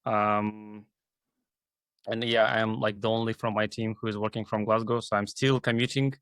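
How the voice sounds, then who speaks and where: A man's voice: fairly low pitch, level tone, moderate pace, a bit bright, smooth, dry recording. A man from Kazakhstan speaking as an interview guest, explaining his work in a hesitant way full of filler phrases. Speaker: guest, AI team leader. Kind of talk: interview talk.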